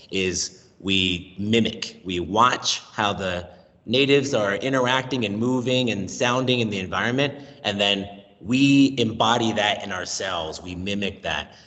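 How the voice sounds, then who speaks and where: American guy in his 30s chatting on a remote call, lowish voice, kinda echoey with a long tail, gets animated doing little voices. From United States. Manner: animated, acts out voices and accents in his stories. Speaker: guest, language teacher. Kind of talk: casual interview talk.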